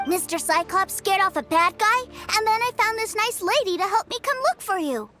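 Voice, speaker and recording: Eager, high-pitched little boy. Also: animated, normal speed. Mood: excited. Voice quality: childlike. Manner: eager, guileless. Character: little boy, innocent, eager, adoring kid brother. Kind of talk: video game voice acting. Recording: game audio with music behind.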